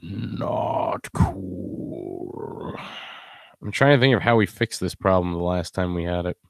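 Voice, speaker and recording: A man's voice: low voice, slow pace, creaky, no room sound, clean studio sound. A man hosting a live stream in a casual, rambling, joking way. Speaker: host.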